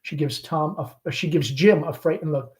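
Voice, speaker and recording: A man's voice: on the high side, normal speed, darkish tone. A man reading stage directions aloud in a dramatic read-along style. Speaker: reader of a play, school principal.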